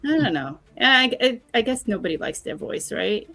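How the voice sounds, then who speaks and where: A woman's voice: animated, moderate pace, pushed and bright, soft background music. A woman hosting a casual online chat, asking her guest questions in a friendly, talkative way. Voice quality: calls her own voice squeaky. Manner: gravelly, chatty, asks questions. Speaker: host.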